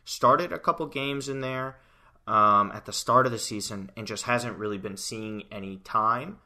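A young man from the United States hosts a casual soccer podcast. His voice sits a little low but rings bright and sharp, and he talks with lively, conversational energy and plenty of fillers. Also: moderate pace. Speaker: podcast producer and fill-in host.

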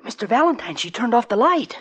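A woman voicing an eager, excitable young boy sidekick in a 1940s radio drama. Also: on the high side, fairly quick, slight background noise.

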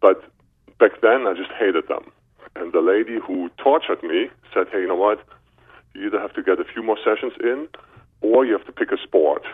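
A man's voice: fairly low pitch, moderate pace, breathy edge. A man in his fifties with a German accent, telling his own story casually as an interview guest over a phone line. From Berlin, Germany. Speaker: guest, chiropractor. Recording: narrow muffled telephone line.

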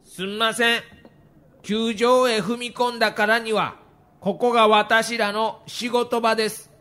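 A man's voice: very high-pitched, flat delivery, measured pace, bright, forceful tone, exaggerated voice. A man playing a grave, earnest middle-aged baseball manager with a Kansai accent in an audio documentary, speaking politely and solemnly. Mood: pleading, firm. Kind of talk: acted dialogue in audio documentary.

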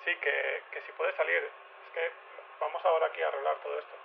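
An actor playing a hurried male construction worker, casual and halting, heard in the background of a telephone call. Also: fairly high pitch, flat delivery, vocal fry, a crowd in the background. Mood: hurried, apologetic. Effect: telephone filter. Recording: distant on phone line, people behind.

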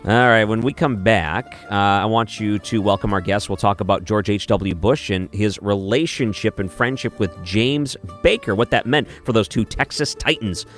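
Deep-voiced male radio host. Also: normal speed, few pauses, faint music behind. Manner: affirming, with frequent short responses. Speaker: radio talk show host. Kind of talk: overnight talk radio interview. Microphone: studio microphone.

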